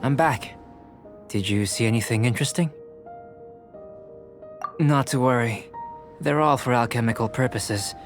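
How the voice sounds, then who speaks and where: Male actor as young game alchemist, calm, measured, scholarly, politely detached, medium pitch, clear background music. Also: close to an everyday voice. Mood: curious, courteous. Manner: measured, formal, scholarly phrasing. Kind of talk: video game voice acting.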